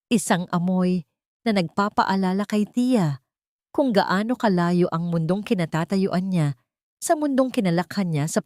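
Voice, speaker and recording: A woman with a Filipino accent narrating a dramatic audiobook with expressive storytelling. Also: medium pitch, no echo, studio quality.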